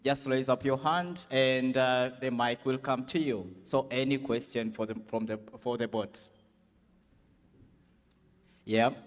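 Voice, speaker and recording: Slow upbeat man moderating in a hall. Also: mid-range voice, fairly even intonation. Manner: slow, upbeat, joking with the crowd. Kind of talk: moderating a panel session. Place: conference hall. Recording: hall reverb.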